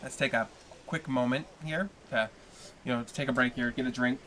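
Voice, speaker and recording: A man hosting a casual livestream, chatting loosely with viewers between chapters into a desk mic. His voice is bright and a bit sharp, and he rambles at an easy, friendly tempo. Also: medium pitch, moderate pace, slight background noise. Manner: relaxed, rambling, chats with viewers. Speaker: livestreamer reading a novel aloud. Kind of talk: casual stream chat with viewers.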